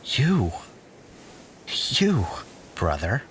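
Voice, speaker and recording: An actor playing a bitter, cryptic exiled schemer in an audio drama, speaking in a measured, theatrical way with pauses. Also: male voice, medium pitch, huge pitch swings, exaggerated voice. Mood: grave, accusing. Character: exiled bitter brother, cryptic accused schemer demanding sanctuary. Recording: faint background noise.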